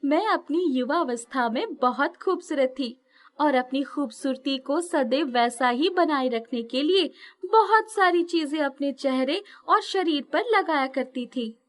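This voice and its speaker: A woman voicing a gentle, wise hunchbacked former dancer in a storybook tale, sweet-spoken and reflective. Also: high voice, lively intonation, unhurried, pitched above the performer's own voice.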